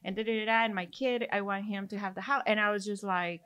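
A woman's voice: medium pitch, fairly even intonation, fast, sharp tone, clean tone. A middle-aged woman from Mexico with a Mexican Spanish accent, hosting a podcast and chatting casually, rambling through stories with lots of fillers. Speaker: podcast host. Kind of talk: casual chat.

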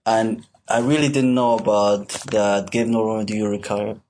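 A young man from Switzerland co-hosting a casual student podcast, talking in a hesitant, halting way with many restarts. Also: on the low side, steady tone, a little mellow, a little hiss or hum. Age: young adult. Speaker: podcast co-host, university student. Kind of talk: casual chat.

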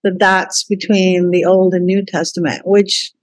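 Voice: medium pitch, level tone, a little slow, dry recording. A co-host in a casual Bible study talk, earnest and encouraging, rambling a little.

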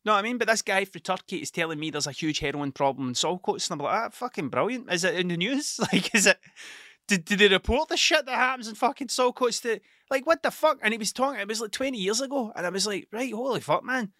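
A man's voice: high voice, expressive, fast talker, sharp tone, no echo, clean studio sound. A middle-aged man with an Ayrshire Scottish accent, rambling through a casual storytelling monologue full of swearing and "do you know what I mean". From Ayrshire, Scotland. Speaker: podcast host.

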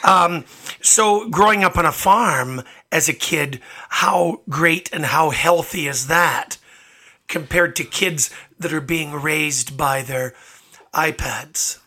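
Guy hosting radio interview, bit raspy, forceful bright voice, chatty and informal. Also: a little high, slow. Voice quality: slightly raspy. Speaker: radio show and podcast host. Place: radio studio. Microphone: studio microphone.

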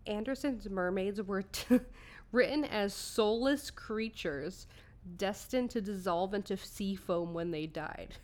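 A woman's voice: medium pitch, takes it slowly, a little mellow. Woman podcast co-host telling stories playfully. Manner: playful, giggly, sweary. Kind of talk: casual comedic storytelling, half scripted.

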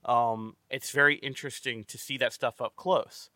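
A man's voice: mid-range voice, steady tone, moderate pace, sharp tone, dry recording, clean studio sound. A man hosting a casual movie-review podcast, rambling with many stammered restarts and free swearing. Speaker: podcast host.

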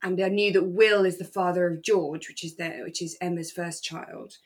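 Low-pitched British woman, relaxed podcast chat. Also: moderate pace. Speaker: podcast co-host. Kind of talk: relaxed casual chat about a radio drama.

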